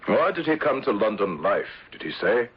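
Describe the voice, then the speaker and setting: An actor playing a shrewd, wary English insurance manager in a radio drama, speaking in a measured, formal way. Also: male voice, fairly low pitch, sing-song, breathy edge, exaggerated voice. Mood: curious, suspicious. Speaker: actor narrating and playing the lead. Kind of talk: 1970s radio drama acting. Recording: dull old radio recording with music.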